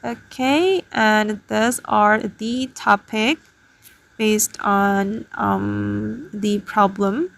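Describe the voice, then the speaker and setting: A woman's voice: mid-range voice, very slow, gravelly, a bit bright. A woman hosting a casual tutorial, explaining things step by step to her viewers. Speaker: tutorial host. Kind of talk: casual spoken screen tutorial.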